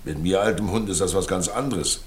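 Deep-voiced, wry old stage ham played by a man. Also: a little fast, close to an everyday voice. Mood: wry resignation. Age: older. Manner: theatrical, wry, resigned. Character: world-weary, self-mocking old former stage actor, a father. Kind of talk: naturalistic radio drama acting.

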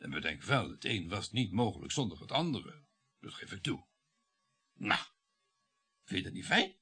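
An actor playing a sardonic, irritable middle-aged surgeon and husband in a radio play, with a needling manner. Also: male voice, on the low side, very animated, moderate pace, bright, forceful tone, breathy edge, exaggerated voice. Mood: sardonic, reminiscing.

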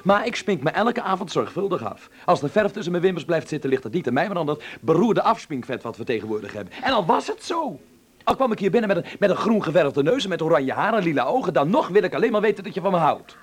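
A man's voice: sits high, very animated, fast talker, slightly rough, full character voice. A man in a Dutch radio play, acting a defiant but affectionate young stage performer with an earnest, theatrical manner. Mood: indignant, pleading. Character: defiant, affectionate young operetta actor living with his mother.

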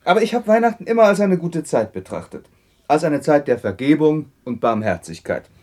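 Good-hearted nephew, animated melody, slightly hoarse timbre, friendly, eloquent, vintage radio drama. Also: male voice, a little high, brisk. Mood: earnest. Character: cheerful, warm-hearted nephew of a miser. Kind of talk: German 1960s radio play acting.

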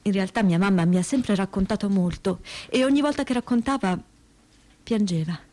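A woman acting a troubled, reflective daughter of a Holocaust survivor in a staged testimony reading, with searching, halting phrasing. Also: medium pitch, dark tone, lightly acted. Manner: hesitant, searching phrasing with pauses and repetitions. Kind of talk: dramatic reading of spoken testimony.

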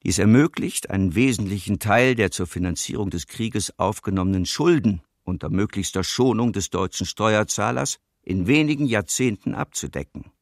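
Low, creaky, cold bureaucrat. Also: male voice, expressive, moderate pace, hardly any pauses, no echo, studio quality. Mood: cold, formal. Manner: formal, bureaucratic. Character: cold male Nazi war bureaucrat reading policy. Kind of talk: documentary radio play, read official document.

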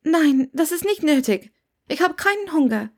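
A woman's voice: high-pitched, animated, moderate pace, voice pushed up. A woman voicing a reserved, weary young woman who is polite, hesitant and evasive, in an audiobook story.

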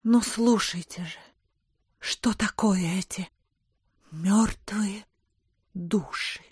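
A woman's voice: medium pitch, very slow, a little mellow, husky, dry recording, full character voice. An actress playing an opinionated, scornful provincial society lady, arch and insistent, in an audio drama of classic literature. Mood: conspiratorial.

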